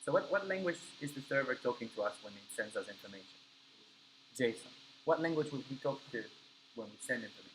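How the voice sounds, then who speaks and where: A man's voice: medium pitch, expressive, fast talker, a bit bright, a little hiss or hum, poor audio. A man whose first language is Spanish teaches a live coding class, explaining step by step and checking in with his students. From Argentina. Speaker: coding instructor.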